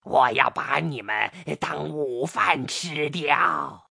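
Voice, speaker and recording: A storyteller voicing a hungry, menacing male snake that hisses and grumbles in a gravelly voice. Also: medium pitch, animated, slow pace, dry recording, studio quality, full character voice. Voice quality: gravelly, sharp.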